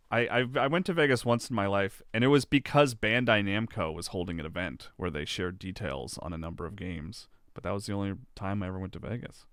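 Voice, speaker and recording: A man's voice: on the low side, a bit bright, no room sound, clean studio sound. A man from South Carolina hosting a casual livestream, upbeat and jokey. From South Carolina, USA. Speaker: livestream host. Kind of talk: casual chat.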